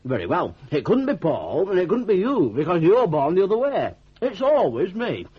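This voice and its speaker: A man's voice: high voice, wildly swooping pitch, moderate pace, husky, exaggerated voice. An actor playing a cheerful, bumbling, self-deprecating idle young man in a radio drama, rambling artlessly in a Northern English accent. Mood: cheerfully self-deprecating. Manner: rambling, artless, chuckling, homely dialect. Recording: dull 1980s radio drama recording.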